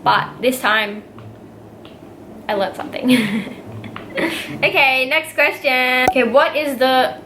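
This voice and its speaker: A woman's voice: sits high, takes it slowly, pauses often, bright, forceful tone, a little hiss or hum. A nineteen-year-old Australian woman answering viewer questions in a casual vlog, giggly and bubbly, full of "like" and fillers. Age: young adult, nineteen. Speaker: vlogger. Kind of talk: casual Q&A to camera.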